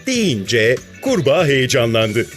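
A narrator telling a scripted children's story in an expressive way. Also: medium pitch, slow. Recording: music behind the narration.

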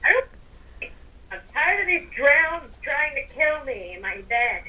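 Bright playful woman, distant mic. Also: high voice, big pitch swings, takes it slowly. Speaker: roleplay player. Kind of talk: casual in-character roleplay chat.

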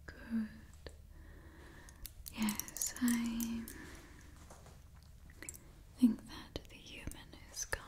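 A woman in her twenties whispers an ASMR roleplay close to the microphone. Her delivery is slow and even, with a soft, mellow tone. She repeats short phrases in a soothing way. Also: on the high side. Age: 20s.

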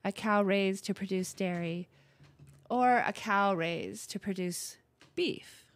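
A woman in her late forties from Montana, hosting a radio talk show in an unhurried, friendly way, with many ums. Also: mid-range voice, dry recording. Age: 40s. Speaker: host.

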